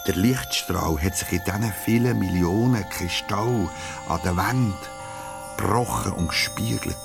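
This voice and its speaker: Low-voiced Swiss German male storyteller. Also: a little slow, gravelly, over music. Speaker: narrator. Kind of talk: storytelling narration for children's radio play.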